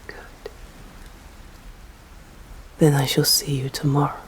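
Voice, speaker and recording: A woman with an English accent voicing a stern, proud female vampire in an ASMR roleplay, speaking formally and deliberately and often slipping into whispers. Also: sits low, little pitch movement, low-quality recording. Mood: parting, intrigued. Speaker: voice actor. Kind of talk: ASMR roleplay, scripted dramatic monologue.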